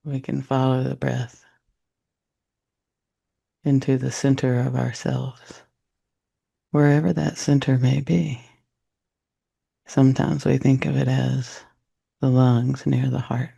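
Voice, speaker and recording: Slow, gravelly man guiding meditation. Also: medium pitch, flat delivery. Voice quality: gravelly, husky. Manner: soft, unhurried, reflective, with long pauses. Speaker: meditation guide. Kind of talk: guided meditation.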